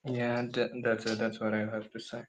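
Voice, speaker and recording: Flat-voiced man with a mixed Indian accent. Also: lowish voice, normal speed, a little hiss or hum. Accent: mix of Indian, American and British. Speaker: guest. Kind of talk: casual live-stream chat.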